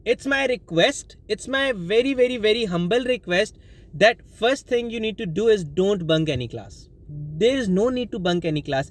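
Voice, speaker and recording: A young man with a Pakistani accent and Urdu as his first language talks through study tips in a casual, rambling vlog. His voice sits high for a man, and he fills his talk with restarts and apologies. He records from the driver's seat of his car. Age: young adult. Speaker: student vlogger. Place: inside a car.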